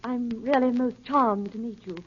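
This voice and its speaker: A woman's voice: on the high side, steady tone, normal speed, lightly acted. An actress playing a poised woman impostor, arch and falsely charming, in theatrical 1930s radio drama style. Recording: muffled, noisy, crackly 1938 radio recording.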